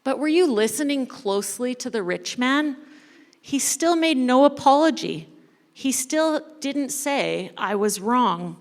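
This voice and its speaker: A woman reading a story dramatically to a congregation as a church storyteller, expressive and deliberate. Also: high-pitched. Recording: echoey hall with long reverb.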